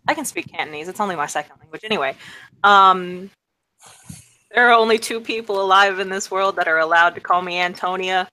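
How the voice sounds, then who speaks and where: Bright-voiced woman tabletop player. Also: fairly low pitch, normal speed, rough recording. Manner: blunt and swearing, lots of fillers. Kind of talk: casual in-character roleplay chat.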